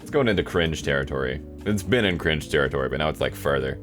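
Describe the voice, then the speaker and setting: A man's voice: extremely low pitch, expressive, fast, music in the background. A man doing casual, dry, self-deprecating commentary as he hosts a playthrough of his own game. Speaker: host playing own old visual novel.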